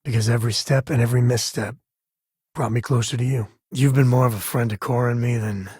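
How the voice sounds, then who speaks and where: An actor playing an easygoing, middle-aged space explorer and single dad in a video game, with a husky voice and a folksy, wry way of talking. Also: male voice, mid-range voice, fairly even intonation, fast, a little mellow, dry recording, clean studio sound, natural voice. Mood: warm, teasing. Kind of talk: video game companion dialogue.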